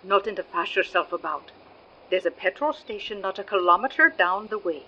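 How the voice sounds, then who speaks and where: A woman's voice: mid-range voice, animated, brisk, pushed and bright, dull audio, a little hiss or hum. A woman playing a motherly, superstitious Irish innkeeper in a radio play, folksy and emphatic, with an Irish accent. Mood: reassuring. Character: homely, superstitious Irish woman innkeeper, hospitable but guarded.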